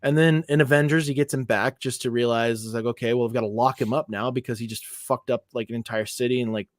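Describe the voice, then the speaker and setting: A man's voice: mid-range voice, fast talker, no echo, studio quality. A man co-hosting a podcast, talking animatedly about movies in casual chat, swearing often and filling his talk with "like". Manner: animated, heavy swearing, lots of "like". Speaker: podcast co-host.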